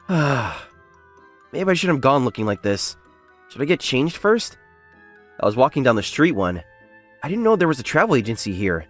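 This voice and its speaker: A modest, candid young working man narrates and acts in a manga dub, played by a male actor. His intonation is very lively and earnest, with soft music underneath. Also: mid-range voice, normal speed, pauses often. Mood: polite, earnest. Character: modest, plain-spoken young male factory worker, story's narrator. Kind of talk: English manga dub acting and first-person narration.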